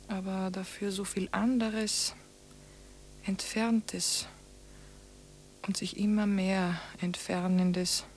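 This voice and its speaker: An actress playing a withered, deranged wife in a radio drama, speaking a haunted monologue in measured, sometimes hushed phrases. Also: female voice, mid-range voice, slight background noise, lightly acted.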